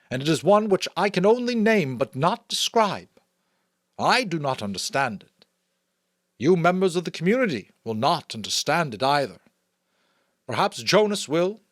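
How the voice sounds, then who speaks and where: A man's voice: sits high, dry recording, studio quality, pitched above the performer's own voice. An American man voicing a solemn, commanding female chief elder in a storybook, with ceremonial, emphatic oratory.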